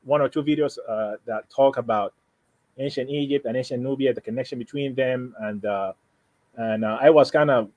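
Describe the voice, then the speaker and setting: Man, native Arabic speaker, Sudanese accent. Medium-pitched, conversational, halting delivery with repeated rephrasing. Dry recording. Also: no echo, narrow, tinny audio. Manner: halting, repeats and rephrases, frequent "you know". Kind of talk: casual conversation, explaining personal plans.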